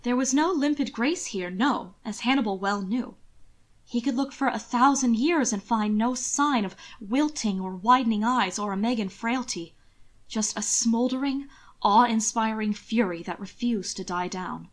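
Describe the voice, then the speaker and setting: A woman reading a scripted story aloud as an expressive audiobook narrator. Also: on the high side, moderate pace. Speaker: audiobook narrator of fan fiction.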